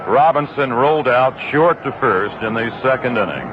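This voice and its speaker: Man calling baseball on old AM radio. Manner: brisk, detailed, rises in pitch on exciting plays. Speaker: baseball play-by-play announcer. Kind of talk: radio play-by-play commentary. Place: ballpark broadcast booth. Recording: tinny 1960s AM radio broadcast with hiss.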